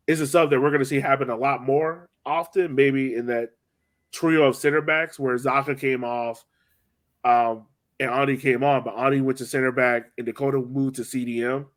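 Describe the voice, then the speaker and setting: A man in casual sports podcast chat, rambling along with lots of "like" and "you know". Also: medium pitch. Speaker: soccer podcast host.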